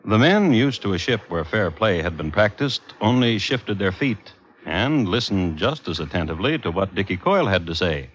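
Low-voiced dry radio storyteller. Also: male voice, sing-song, slight background noise. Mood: dry storytelling. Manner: deliberate, dry, clipped phrases. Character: measured, dryly theatrical storyteller of sea crime tale. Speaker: narrator. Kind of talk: old-time radio scripted narration. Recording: muffled vintage radio recording. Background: music.

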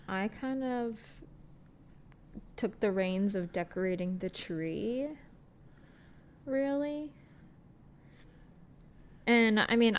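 A woman co-hosting a podcast, chatting casually in a relaxed, hesitant way that often trails off. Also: mid-range voice, slight background noise. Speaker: podcast co-host. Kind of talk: casual chat.

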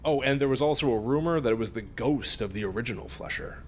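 A man's voice: medium pitch, expressive, fast, a little hiss or hum, lightly acted. A man playing a smug, teasing friend who spins spooky tales in an audio drama. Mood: morbid, teasing. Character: smug, teasing male friend telling a gory local legend. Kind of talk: naturalistic audio drama acting.

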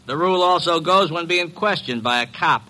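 An actor playing a cagey, insolent young elevator operator, flippant and terse, in an old-time radio drama. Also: male voice, fairly high pitch, normal speed, sharp tone. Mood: cagey, smart-mouthed.

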